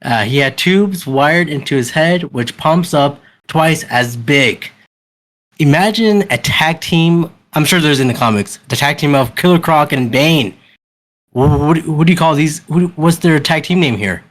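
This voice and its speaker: A man's voice: fairly high pitch, animated, unhurried, fairly bright tone. A man hosting a casual podcast chat, enthusiastic and restarting his sentences often. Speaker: podcast host.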